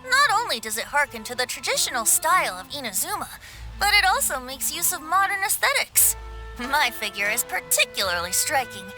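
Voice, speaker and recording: A woman's voice: high-pitched, very animated, fairly quick, pushed and bright, heavily acted, poor audio. A woman voicing a boyish young male bard in a video game, breezy, vain and theatrical, with lilting, flowery phrasing. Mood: smug. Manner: lilting, flowery, self-satisfied. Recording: music behind the voice.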